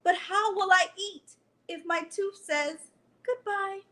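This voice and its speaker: A woman's voice: very high-pitched, slow, a bit bright, voice pushed up, full character voice. A woman voicing a worried, tearful bear from a children's storybook, plaintive and fretful.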